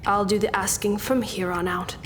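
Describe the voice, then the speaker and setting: A woman's voice: medium pitch, flat delivery, moderate pace, a bit bright, a little hiss or hum, lightly acted. A woman playing a tough, guarded interrogator in an audio drama, with an intense delivery. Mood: hard, sardonic. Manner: terse, sardonic, intense. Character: tough, guarded female interrogator judging a convict's appeal. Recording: long reverb, music underneath.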